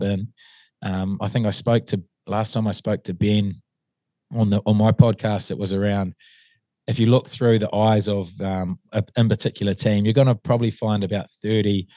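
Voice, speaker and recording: Slightly hoarse New Zealand man, low voice. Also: fairly even intonation, normal speed, warm tone, no room sound. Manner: hesitant, many ums and restarts. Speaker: assistant rugby coach, guest. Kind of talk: casual interview chat.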